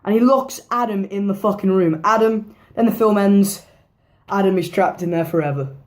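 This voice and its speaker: Hoarse woman rambling about films. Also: mid-range voice, moderate pace. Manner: rambling, swears freely, repeats herself. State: hoarse, losing her voice. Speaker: movie reviewer. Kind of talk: casual movie review vlog.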